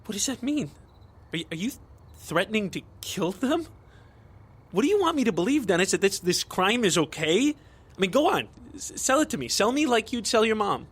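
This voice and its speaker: An actor playing an anxious, indignant young American nerd dragged into a crime in an audio drama, exasperated and stammering. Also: male voice, high-pitched, big pitch swings, moderate pace, a bit bright, slightly rough, heavily acted. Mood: anxious, pleading. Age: young adult. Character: panicky, indignant young nerd, reluctant accomplice.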